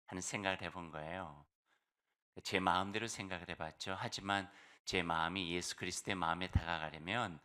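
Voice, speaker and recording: A male pastor preaching a sermon in conversational style, hoarse from a cold, with fillers and slow, emphatic phrases. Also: low-pitched, bright, forceful tone.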